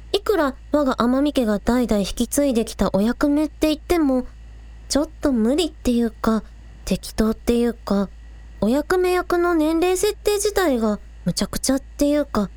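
A woman's voice: high-pitched, darkish tone, no echo. A woman playing an earnest, anxious teenage village idol girl in an audio drama. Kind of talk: Japanese audio drama acting.

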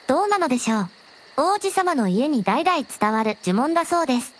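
A woman playing a gentle, very polite dragon princess with a softly teasing manner in a scripted audio drama. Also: sits high, big pitch swings, brisk. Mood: polite, serene. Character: gentle polite dragon princess, a shrine maiden's alternate self.

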